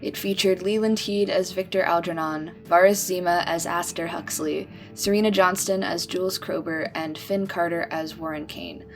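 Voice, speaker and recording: Woman reading podcast credits over soft music. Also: on the low side, steady tone, moderate pace, few pauses. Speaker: credits announcer. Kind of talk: scripted credits read.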